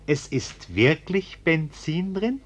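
An actor playing a genial, nervous middle-aged householder in a German radio play, polite, hesitant and eager to placate. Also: male voice, on the high side, big pitch swings, takes it slowly, a little hiss or hum. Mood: nervously friendly.

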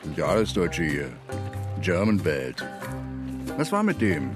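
A man's voice: sits low, sing-song, a little slow, music in the background. An actor playing a sceptical, sardonic man who questions his companion bluntly and impatiently in a radio drama. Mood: sceptical, dismissive.

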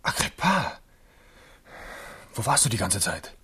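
A man's voice: lowish voice, big pitch swings, takes it slowly, pushed and bright, husky, exaggerated voice. An actor playing a wary, hot-tempered young captive king in a radio play. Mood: agitated. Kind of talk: 1970s radio play acting.

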